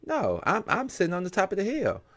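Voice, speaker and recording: A man's voice: high-pitched, wildly swooping pitch, a little fast, fairly bright tone. A man hosting a show, giving impassioned commentary with emphatic rhetorical questions. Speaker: show host.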